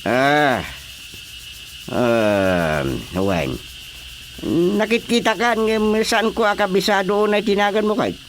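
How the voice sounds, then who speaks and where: A man playing a bemused, patient village chairman in an Ilocano radio comedy drama, with drawn-out hums and probing questions. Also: high voice, sing-song, a little hiss or hum, full character voice.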